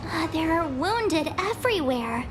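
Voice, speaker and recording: Spirited teen girl adventurer for a game: extremely high, lively, chirpy, informal. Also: a little slow, over music, heavily acted. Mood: worried, eager. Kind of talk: video game voice acting.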